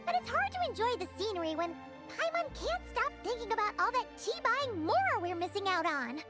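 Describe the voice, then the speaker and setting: A tiny, cheeky fairy mascot, extremely high and squeaky with lively swoops. The childlike game guide is bubbly and eager, over loud music. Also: female voice, a little fast. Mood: wistful, cheeky. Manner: bubbly, childlike, speaks of self in third person. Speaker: game voice actor. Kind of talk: cartoonish game mascot acting.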